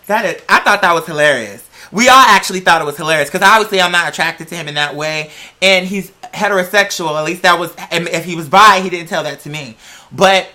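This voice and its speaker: A man telling personal stories as a host in a casual, sassy, dramatic way, with animated emphasis and lots of slang and swearing. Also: sits high, a little fast. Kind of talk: casual chat, personal storytelling.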